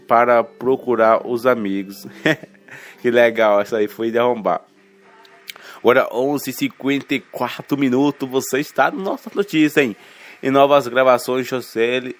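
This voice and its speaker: Male radio newsreader, slightly congested with a cold. Informal, halting headline delivery with tag questions, medium pitch, music bed. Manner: colloquial, halting, stumbles over words and self-corrects, tags remarks with questions. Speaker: radio news presenter. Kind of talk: informal reading of radio news headlines with asides.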